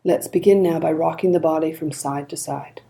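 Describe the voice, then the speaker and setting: A woman leading a guided body scan meditation in a calm, gentle, unhurried manner. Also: low-pitched, gravelly.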